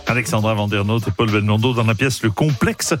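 A man's voice: fairly low pitch, brisk, soft background music. A man hosting a radio talk show, running a game with showman flair. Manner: showman, lively presenter. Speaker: radio host. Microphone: studio microphone.

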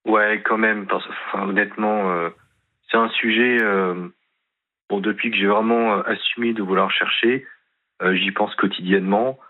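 A man calling in to a radio show, speaking hesitantly and haltingly, full of fillers. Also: on the low side, fairly even intonation. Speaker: caller. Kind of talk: phone-in conversation. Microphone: telephone. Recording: tinny phone line.

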